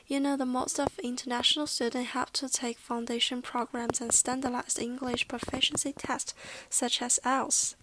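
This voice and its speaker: A woman's voice: fairly high pitch, fairly bright tone, a little hiss or hum. A woman in her twenties with a Chinese accent, a native Mandarin speaker, playing an earnest young international student and reading her script carefully. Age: 20s.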